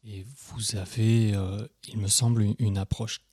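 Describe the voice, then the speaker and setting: Husky low-voiced male host, dry sound. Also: level tone, measured pace, mellow, no room sound. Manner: inquisitive, leading questions. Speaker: radio host. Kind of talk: live radio interview.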